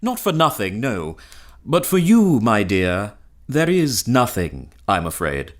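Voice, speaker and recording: An actor playing a mild, evasive, faithless gentleman lover in an audio melodrama, polite and hesitant. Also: lowish voice, wildly swooping pitch, slow pace, exaggerated voice. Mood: regretful. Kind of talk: audio drama acting, gothic melodrama.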